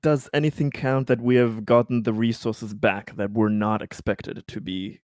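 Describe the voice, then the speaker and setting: A man's voice: lowish voice, steady tone, normal speed, a little mellow, dry recording, studio quality. A man talking casually as a player in a tabletop game, hesitant and correcting himself as he goes.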